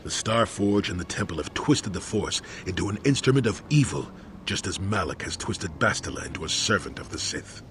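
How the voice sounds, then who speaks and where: An actor playing a grave, wise Jedi mentor in a video game, talking in a solemn, measured way. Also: male voice, sits low, lively intonation, fairly bright tone, a little hiss or hum. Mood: grave, sombre. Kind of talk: dramatic video game acting.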